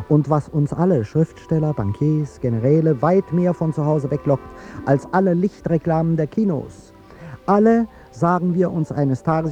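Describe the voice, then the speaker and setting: An actor playing an ageing, courtly president in a 1950s radio drama, rhetorical and wistful, with a husky, creaky, dark voice. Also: male voice, a little high. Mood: expansive, declaiming. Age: older. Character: ageing male president, courteous, wistful, grandiloquent café guest. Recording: muffled old 1950s radio recording.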